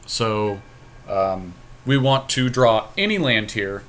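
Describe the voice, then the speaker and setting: A man's voice: on the low side, expressive, takes it slowly, fairly bright tone. A man giving casual, conversational commentary as he plays a card game as a podcast co-host.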